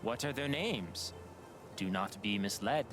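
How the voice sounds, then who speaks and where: An actor playing a theatrical fantasy riddle-giver in a video game, speaking in a portentous, dramatic way. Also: male voice, on the low side, expressive. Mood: ominous, theatrical. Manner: slow, portentous, dramatic delivery. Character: theatrical male riddle-giving fantasy role-play character. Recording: music playing behind the voice.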